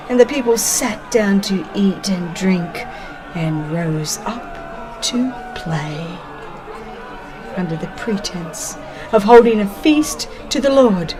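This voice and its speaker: A woman reading a dramatized religious narration in a solemn, expressive, deliberate way. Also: mid-range voice, rough recording. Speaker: audiobook narrator. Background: music.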